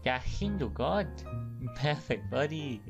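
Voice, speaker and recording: Someone doing an ancient warrior lady in a cartoon, super slow, lusty, admiring. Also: mid-range voice, sing-song, creaky, dull audio, over music, full character voice. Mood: lustful, admiring.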